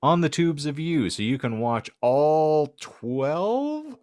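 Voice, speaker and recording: A man's voice: on the high side, sing-song, takes it slowly, a little mellow. A man hosting a comedy podcast, speaking in a wry, wordy, mock-grandiose way as he banters and signs off. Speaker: podcast host.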